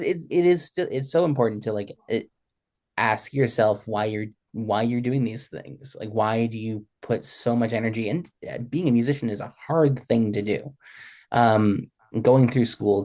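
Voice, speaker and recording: Thoughtful, halting man on a panel. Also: lowish voice, darkish tone. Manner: halting, repeats words while thinking. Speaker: panelist who presents music. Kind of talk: casual, reflective discussion.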